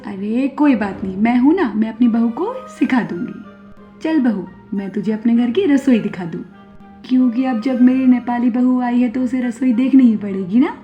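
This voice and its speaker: Woman acting a dramatic, high-voiced scolding mother-in-law. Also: normal speed, natural voice. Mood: accepting, bossy. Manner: dramatic, exclaiming, complaining. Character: middle-aged Indian mother-in-law, suspicious, scolding, dramatic. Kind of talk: Hindi animated moral story acting. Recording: dull lo-fi audio, music behind.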